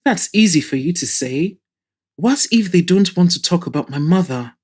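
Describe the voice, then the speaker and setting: A woman's voice: lowish voice, animated, moderate pace, darkish tone, breathy edge. Woman reading as an anxious, hopeful young woman. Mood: nervous. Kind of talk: audio drama reading.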